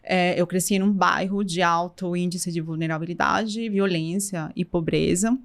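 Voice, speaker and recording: A woman's voice: lowish voice, fairly even intonation, moderate pace. Colombian woman speaking accented Portuguese. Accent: Colombian Spanish. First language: Spanish. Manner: thoughtful, many restarts, mixes Spanish into Portuguese. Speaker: guest, company co-founder. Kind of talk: casual interview talk.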